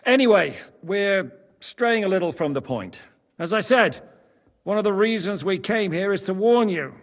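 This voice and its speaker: An actor playing a blunt, wry former bandit in a medieval video game cutscene, speaking with frank, sardonic directness. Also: male voice, sits high, lively intonation, moderate pace. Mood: impatient. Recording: long hall reverb.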